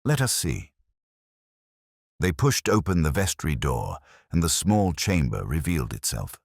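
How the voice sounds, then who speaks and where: A man narrating a scripted mystery story in a measured, literary manner. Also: a bass voice, big pitch swings, slightly rough, no echo, clean studio sound. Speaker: audiobook narrator.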